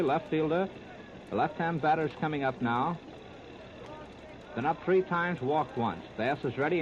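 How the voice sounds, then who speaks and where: American man, 1930s radio baseball commentary, detailed flowing delivery, heavy background noise, dull lo-fi disc sound. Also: a little high. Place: outdoor ballpark broadcast. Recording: noisy, muffled 1939 radio disc recording.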